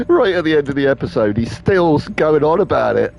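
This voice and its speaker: A man's voice: fairly high pitch, very animated, normal speed, gravelly, lo-fi sound. Sweary man mocking a game. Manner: sweary, jokey, mocking, laughs often. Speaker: gaming commentator. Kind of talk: casual gameplay commentary. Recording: voice over game audio with faint music.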